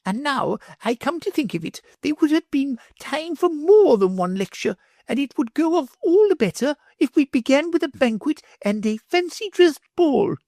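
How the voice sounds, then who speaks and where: A storybook reader voicing a haughty, gushing grand lady in a high, lofty voice. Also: expressive, normal speed, few pauses, a little mellow, no echo, studio quality.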